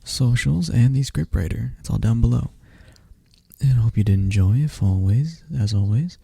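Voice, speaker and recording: A man hosting an ASMR channel speaks to his viewers in a relaxed, soft ramble. His low voice is dark and husky, with a gravelly edge. The sound is close and dry. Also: moderate pace, no room sound. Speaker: ASMR creator. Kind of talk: casual outro to viewers.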